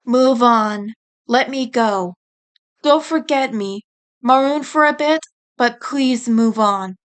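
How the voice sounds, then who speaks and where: A woman voicing a caring female rabbit's farewell letter in an audio story, earnest, gentle and apologetic. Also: fairly high pitch, slow pace, smooth. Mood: tender, earnest.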